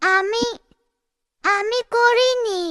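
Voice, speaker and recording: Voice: very high-pitched, steady tone, extremely slow, bright, forceful tone, full character voice. A performer voicing a little boy in a children's cartoon, playful and easily scared of a scolding. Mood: nervous.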